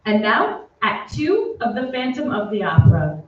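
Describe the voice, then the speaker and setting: A woman's voice: fairly high pitch, big pitch swings, normal speed. A woman playing a 1940s radio announcer, giving a polished, persuasive sponsor's advertising read. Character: wartime radio soap-advert announcer, smooth saleswoman. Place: theatre stage. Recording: echoey stage from far off, dull, noisy.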